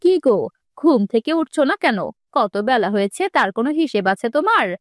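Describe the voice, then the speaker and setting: A woman's voice: sits high, expressive, fairly quick, no room sound. A woman voicing a practical, mildly scolding village housewife in a fairy-tale cartoon, in a homely manner.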